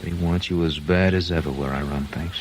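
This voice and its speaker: An American actor playing a smug, menacing gangster boss in a 1950s radio crime drama, casually taunting in slang. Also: male voice, sits low, steady tone, fairly quick, natural voice. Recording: noisy vintage radio recording.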